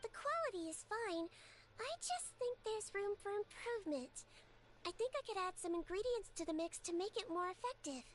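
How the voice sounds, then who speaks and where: A professional beauty expert in a video game. Her voice is extremely high, but she talks in a measured, matter-of-fact way. There is faint noise behind her. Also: animated. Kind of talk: English video game dub acting.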